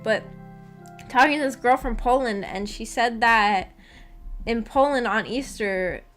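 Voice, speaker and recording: A woman with a somewhat high-pitched voice hosts a casual livestream chat. She rambles along in a self-deprecating, giggly way. Light music plays underneath her voice. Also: unhurried, a bit bright. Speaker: livestream host chatting with viewers.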